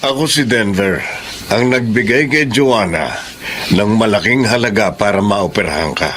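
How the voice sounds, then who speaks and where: Filipino radio drama: obsessive, lovesick suitor played by a man, intense and pleading, animated pitch. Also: moderate pace, background noise, close to an everyday voice. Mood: confrontational. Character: lovesick, obsessive man pursuing a married woman.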